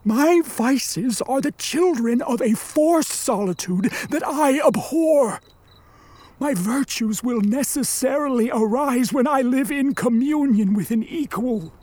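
An actor in a radio play voicing an embittered, vengeful created monster, with a husky voice and grandiose, formal delivery. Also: mid-range voice, expressive, darkish tone. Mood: bitter, demanding. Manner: grandiose, theatrical, deliberate delivery. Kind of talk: radio play acting, formal archaic speech.

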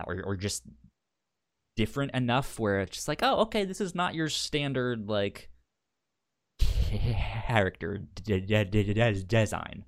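A man hosting a casual book discussion show, talking informally and haltingly with a stutter that repeats sounds and syllables. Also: lowish voice, lively intonation. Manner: halting, informal, thinking aloud. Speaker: podcast host.

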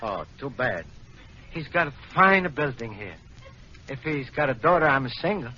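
A man's voice: mid-range voice, big pitch swings, moderate pace, pushed and bright. A man playing a naive, earnest Italian immigrant in an old radio comedy, explaining things step by step in simple broken English with an Italian accent. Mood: naive, polite. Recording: noisy, muffled old radio broadcast recording.

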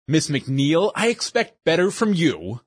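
A stern middle-aged school principal lectures the students, as a man reads him in a storybook. His voice is husky and close, with a lively rise and fall. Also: fairly high pitch, unhurried, no room sound, heavily acted.

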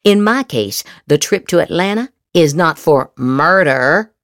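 A woman reads political posts like a stage piece, in a slightly low voice with very animated intonation. She takes her time, stretching words for sarcastic emphasis. The recording is close and dry. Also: no room sound. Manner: exaggerated, sarcastic inflection with drawn-out emphasis. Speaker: podcast host reading social media posts aloud. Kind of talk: theatrical dramatic reading of political posts.

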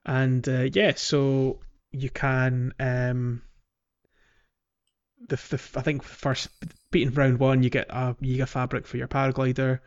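A man's voice: mid-range voice, level tone, measured pace, darkish tone, clear, resonant tone. Slightly muffled man, casual podcast co-host. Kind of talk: casual gaming podcast chat.

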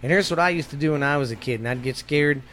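A man's voice: fairly high pitch, fast, fairly bright tone, slight background noise. A man with a Texan accent co-hosting a podcast, chatting casually in a rambling, folksy way and repeating himself. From Texas. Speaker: podcast co-host. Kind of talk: casual chat.

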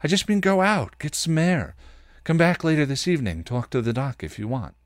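Man doing a higher-pitched, mild young hospital guy. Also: animated, a little fast, dry recording, studio quality, natural voice. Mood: placating. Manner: mild, placating. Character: good-natured, easygoing male hospital worker.

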